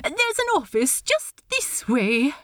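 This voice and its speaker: A woman voicing a fussy, polite gentleman pirate captain in an audiobook story, stammering and earnest. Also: high-pitched, big pitch swings, slow pace, no room sound, clean studio sound, pitched above the performer's own voice, exaggerated voice. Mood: brisk, polite. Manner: stammering, hesitant, prim.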